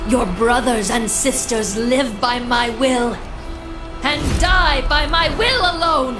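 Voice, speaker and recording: Echoing, imperious fallen angel. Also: female voice, sits high, slow. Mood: haughty menace. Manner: haughty, menacing, grandiose. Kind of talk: video game villain voice acting. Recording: echoey, with music underneath.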